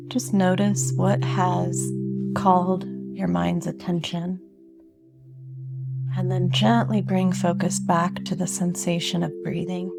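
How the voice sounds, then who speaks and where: A woman guiding a meditation, speaking gently and unhurriedly with long pauses between phrases. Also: fairly low pitch, vocal fry. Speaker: meditation guide. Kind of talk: guided meditation. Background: meditative singing bowl and sine-tone music.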